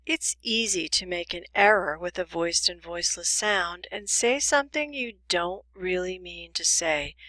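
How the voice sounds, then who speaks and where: A woman teaching English pronunciation in a scripted lesson, enunciating deliberately and carefully. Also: mid-range voice, sharp tone, no echo, low-quality recording. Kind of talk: scripted instructional lesson with demonstrations.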